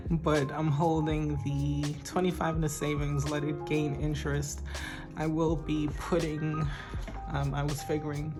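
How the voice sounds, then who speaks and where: Soft, shaky low-voiced woman. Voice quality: weak, shaky voice. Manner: slow and soft-spoken, with hesitant ums and light laughs. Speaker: budgeting vlogger. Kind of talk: casual chat.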